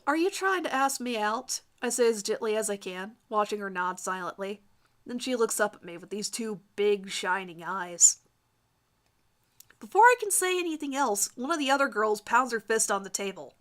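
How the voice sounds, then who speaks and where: A woman giving a theatrical, expressive dramatic reading of fan fiction. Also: medium pitch, moderate pace.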